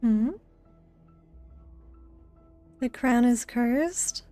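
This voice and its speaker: Slow-talking woman streamer, high voice. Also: dark tone, soft background music. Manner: short murmured reactions and asides. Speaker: game streamer. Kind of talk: casual live playthrough reactions.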